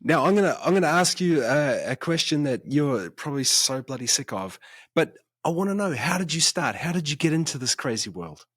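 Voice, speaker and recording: A male podcast host whose voice is hoarse and worn down to a rasp. He chats in a friendly, loose way and often restarts his sentences. The sound is close and dry, with no room around it. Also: on the high side, expressive, a little fast, fairly bright tone, no room sound. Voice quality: raspy. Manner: friendly, chatty, stumbling restarts. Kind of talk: casual interview chat.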